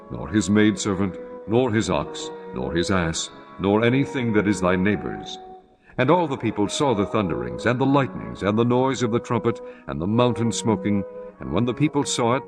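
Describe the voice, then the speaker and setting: A man with a fairly low voice narrates scripture solemnly, in measured phrases. Music plays clearly behind his dramatic, scripted reading. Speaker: audio Bible narrator.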